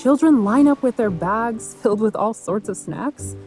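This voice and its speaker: A young woman voice-acting a capable, skeptical bodyguard heroine in a video game cutscene, practical and quick to retort. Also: a little high, animated, darkish tone, natural voice. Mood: focused. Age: young adult. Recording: music behind the voice.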